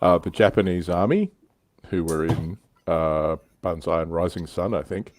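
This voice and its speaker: A man's voice: deep voice, normal speed, no echo. A man hosting a casual hobby livestream, telling stories in an explanatory way with some swearing. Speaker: livestream host.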